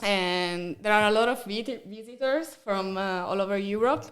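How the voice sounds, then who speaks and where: A young Italian woman speaks English with a clear accent, her voice sitting at a middle pitch. She chats casually on a podcast, stumbling over fillers and slipping in small laughs. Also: moderate pace. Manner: hesitant, many fillers, laughs often. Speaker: guest.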